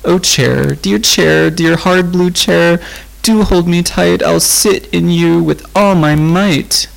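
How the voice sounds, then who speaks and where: A man voicing a lonely little girl who sings to herself in the dark in a high, wavering sing-song. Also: slow pace, vocal fry, exaggerated voice, poor audio. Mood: frightened.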